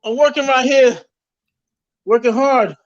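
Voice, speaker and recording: A man's voice: very high-pitched, measured pace, no room sound. A man with a Trinidadian accent, chatting as a guest in a boisterous, slangy way. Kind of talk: casual chat. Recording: dull dry lo-fi sound.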